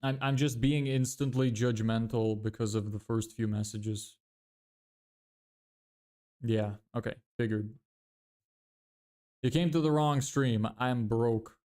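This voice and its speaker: A young man in his twenties from Lithuania talks through his plans on a livestream, stopping to answer viewers as their questions come in. His voice is smooth and clear at an ordinary middle pitch. He thinks aloud and often corrects himself partway through a sentence. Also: slow pace, pauses often, a little mellow. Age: 20s. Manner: thinks aloud, self-correcting, frequent fillers. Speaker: livestreamer building a business. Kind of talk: casual livestream chat reading viewer questions.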